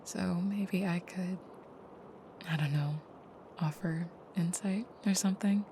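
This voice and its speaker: A woman playing a gentle, caring vampire girlfriend in an intimate audio roleplay, speaking softly and hesitantly, often near a whisper. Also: lowish voice, slow pace, a little hiss or hum. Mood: hesitant, confiding.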